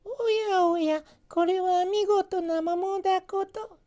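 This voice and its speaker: Folktale granny, male performer: very high thin falsetto, kindly, polite, singsong delivery. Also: steady tone, slow, dark tone. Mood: pleased. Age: older. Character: kindly, delighted old woman of a Japanese folktale. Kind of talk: storybook character voice.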